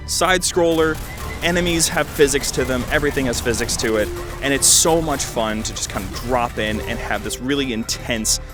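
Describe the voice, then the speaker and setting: A young man giving a casual, conversational game review, rambling loosely with wry deadpan asides. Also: medium pitch. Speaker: gaming video host. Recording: loud music behind the voice. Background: music.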